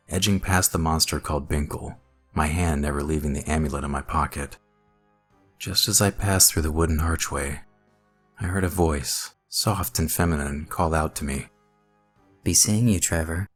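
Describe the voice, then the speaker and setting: A man narrating a scripted first-person horror story in a measured, dramatic way. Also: very deep voice, a bit bright, breathy edge. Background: music.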